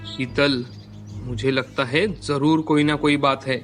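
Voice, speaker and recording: A man's voice: mid-range voice, steady tone, unhurried, a bit bright, soft background music, lightly acted. A man playing a plain village farmer husband, baffled and doubting, in an acted moral story. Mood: calm, thoughtful. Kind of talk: scripted Hindi moral story acting.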